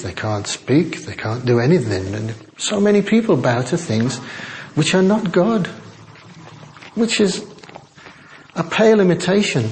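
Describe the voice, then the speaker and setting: An older man preaching a chatty, self-deprecating sermon in a quiet voice to a church congregation on a small microphone. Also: on the high side, a little hiss or hum, poor audio. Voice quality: quiet, soft-spoken voice. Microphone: small sensitive microphone.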